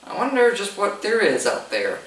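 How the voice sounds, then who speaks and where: A man's voice: high-pitched, wildly swooping pitch, moderate pace, a bit bright. A young man giving a pompous, musing comedic introduction as a sketch host. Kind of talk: mock-elegant comedic introduction. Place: living room. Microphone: camera across the room. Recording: echoey living room, distant camera mic.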